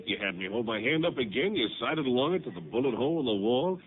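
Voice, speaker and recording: Casting: male actor for puzzled small-town Western sheriff, American drawling country type, very lively intonation, plain-spoken, noisy old-time radio sound. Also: mid-range voice, fast talker, close to an everyday voice. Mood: baffled. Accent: American, rural Western. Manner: folksy, plain-spoken, animated. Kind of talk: 1950s radio drama acting. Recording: noisy, narrow-band old radio recording.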